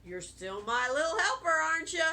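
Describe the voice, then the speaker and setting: A woman's voice: sits high, sing-song, moderate pace, pushed and bright, exaggerated voice. A woman voicing a hearty, teasing, kindly old grandfather in a children's storybook, emphatic and coaxing. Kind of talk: children's storybook read-aloud.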